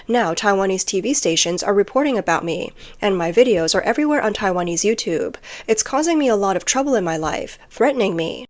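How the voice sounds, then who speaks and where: A woman reading scripted news narration, including translated quotes, as the narrator of a report. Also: mid-range voice.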